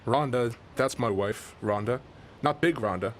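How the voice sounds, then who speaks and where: An actor playing a folksy small-town fisherman who rambles and corrects himself in a deadpan way. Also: medium pitch, measured pace, slight background noise, natural voice. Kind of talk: radio drama interview.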